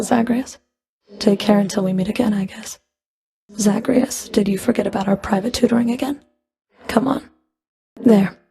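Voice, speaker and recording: A woman's voice: medium pitch, creaky, mellow, lightly acted. A woman playing a stern, sardonic underworld fury in a video game, speaking in a cool, clipped, dry and commanding manner. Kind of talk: video game character voice lines.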